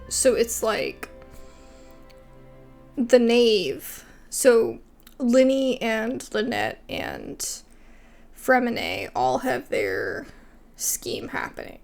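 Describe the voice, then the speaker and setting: Female gamer, casual commentary, highish pitch, swooping intonation, vocal fry, soft background music. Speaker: gaming video creator. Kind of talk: casual gameplay commentary, also reading dialogue aloud.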